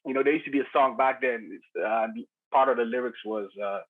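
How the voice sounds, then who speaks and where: A man's voice: mid-range voice, fast talker. A man in his thirties from Nigeria, telling his story as a podcast guest with many restarts and fillers and frequent chuckles. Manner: chuckles often, hesitant restarts, many "you know" fillers. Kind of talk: casual conversational storytelling. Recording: tinny phone-like line.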